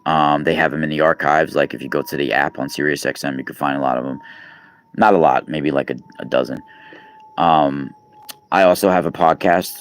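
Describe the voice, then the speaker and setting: A man's voice: extremely low pitch, steady tone, brisk. A man, chatting casually on a live stream, rambling with many fillers and restarts. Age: 40s. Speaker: podcast host and radio guest. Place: East Coast, New York.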